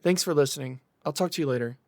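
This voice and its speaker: An actor playing an earnest young man in a conversational audio drama, explaining himself in a measured way. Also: fairly high pitch, vocal fry, darkish tone, dry recording. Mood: earnest, defensive. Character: earnest young man pleading for patience and trust.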